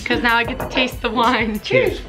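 A woman in her twenties vlogging at her family home, talking to a handheld camera in a casual, chatty, excitable way. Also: a little high, music in the background. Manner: chatty, excitable, quick exclamations. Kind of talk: casual vlog chat. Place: family home, kitchen and backyard deck. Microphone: handheld camera close up.

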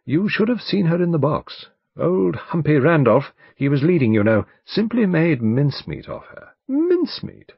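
Man voicing a precise English barrister, pitched high. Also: very animated, normal speed, gravelly, hardly any pauses, slightly rough, dry recording, dull audio, exaggerated voice. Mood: pointed. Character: thin, precise English male barrister, quietly persistent. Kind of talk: audiobook character dialogue.

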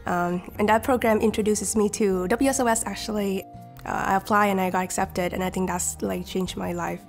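A young woman in her twenties from Vietnam, a native Vietnamese speaker, talks casually through her story, often filling gaps with likes and ums. Her voice carries a lot of vocal fry, and faint music sits behind her. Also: mid-range voice, steady tone, fairly quick. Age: 20s. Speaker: scholarship recipient. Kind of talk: documentary interview.

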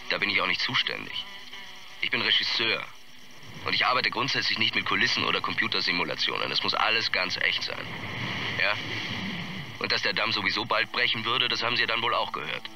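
An actor playing an arrogant, brusque film director in a radio drama interview, self-important and halting. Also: male voice, deep voice, expressive, vocal fry, background noise. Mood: defensive, dismissive.

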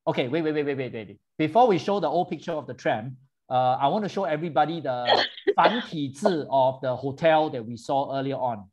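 Man, tour host, fairly high voice, animated, switches languages, cuts in often. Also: fairly quick, dull audio. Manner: animated, interrupts, switches between English and Mandarin. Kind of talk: bilingual virtual tour chat for seniors.

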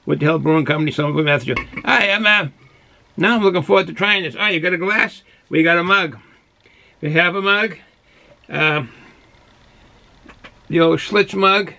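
A man's voice: fairly high pitch, normal speed, vocal fry, long pauses, bright, forceful tone. A man in his sixties from Boston with a thick Boston accent, chatting casually and rambling a little as he reviews for the camera. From Boston, United States. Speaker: beer reviewer. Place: small cluttered indoor hobby room.

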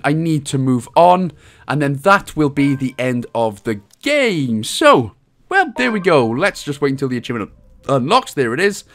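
A man with a Welsh accent giving a casual game walkthrough with cheeky, jokey asides. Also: fairly high pitch. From Wales. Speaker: video game guide host.

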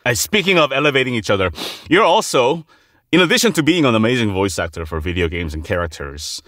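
A man hosting an interview podcast, chatty and quick to laugh, often restarting his phrases. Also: mid-range voice, big pitch swings, a bit bright, no room sound. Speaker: podcast host. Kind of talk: casual interview.

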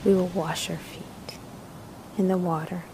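A woman narrating a guided meditation in a soothing, hushed manner, with breathy phrase endings and long pauses between short phrases. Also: lowish voice, little pitch movement, background noise.

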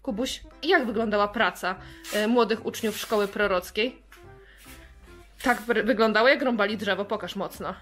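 A woman's voice: medium pitch, moderate pace, a bit bright, faint music behind. A woman hosting a children's programme, talking to young viewers.

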